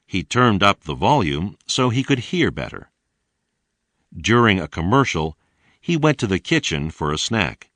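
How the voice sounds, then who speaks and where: A man reading scripted narration for an English language lesson in a deliberate, carefully enunciated way. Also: sits low, big pitch swings, fairly bright tone, no echo.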